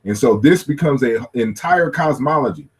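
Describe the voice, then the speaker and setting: A man's voice: mid-range voice, lively intonation, normal speed, breathy edge. A man lecturing through slides at a workshop, thinking aloud with many "you know" fillers and restarts. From United States.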